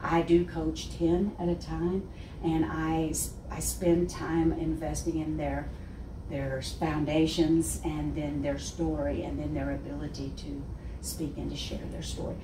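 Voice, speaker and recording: A woman's voice: low-pitched, measured pace. A woman in her sixties with a heavy Texan accent, telling her faith story with big gestures and frequent laughter. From Texas. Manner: expressive, gestures constantly, laughs often. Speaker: guest speaker. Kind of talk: animated testimony. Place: wood-panelled office set with armchairs. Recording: roomy, distant, faint hiss.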